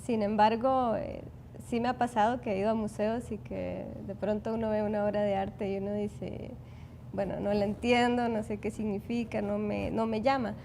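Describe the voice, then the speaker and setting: Thoughtful woman, gravelly edge. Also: medium pitch, little pitch movement, dark tone, slight background noise. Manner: thoughtful, measured, with restarts. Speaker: guest. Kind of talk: TV studio interview. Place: TV studio.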